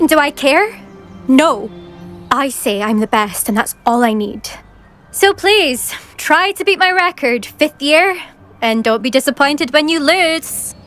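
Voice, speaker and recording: A high-pitched British teenage girl from a wizard-school game, cocky and competitive. She talks brusquely and dismissively, with music playing softly underneath. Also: expressive, takes it slowly, heavily acted. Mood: haughty. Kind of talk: video game character dialogue.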